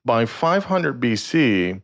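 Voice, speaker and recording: A man hosting a podcast interview, asking halting questions with many restarts and backchannels. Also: mid-range voice, very animated. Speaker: podcast host.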